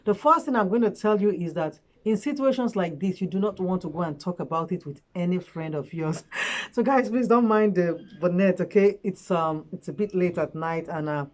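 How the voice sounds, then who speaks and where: Hoarse woman, chatty. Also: fairly low pitch, moderate pace, darkish tone. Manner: chatty, earnest, frequent fillers. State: hoarse from a cold. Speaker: relationship vlogger. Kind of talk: casual advice chat to camera. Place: at home late at night.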